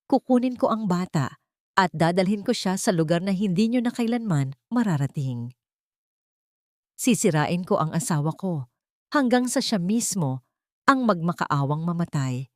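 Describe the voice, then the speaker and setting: A woman's voice: on the low side, very animated, normal speed, no echo, clean studio sound. Woman voicing a cruel, scheming daughter-in-law. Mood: menacing, vengeful. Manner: menacing threats, sugary fake tenderness. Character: cruel scheming young daughter-in-law, threatening, falsely sweet.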